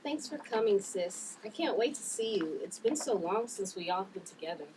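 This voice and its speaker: A woman's voice: medium pitch, expressive, normal speed, distant mic, natural voice. A woman reading the part of a caring, exasperated sister who works as a TV producer, in a family drama table read. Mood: candid, slightly weary. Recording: noisy room, off the mic.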